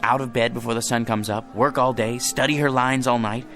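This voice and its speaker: Radio drama: male actor as devoted, weary husband, earnest with vocal fry, light music behind. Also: medium pitch, fairly even intonation, a little fast, fairly bright tone, close to an everyday voice. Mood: frustrated, wry. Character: weary, devoted, long-suffering husband of a star.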